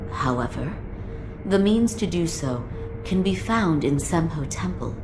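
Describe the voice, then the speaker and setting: A calm, low-voiced physician. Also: female voice, over music. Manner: measured and formal. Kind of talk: video game cutscene acting.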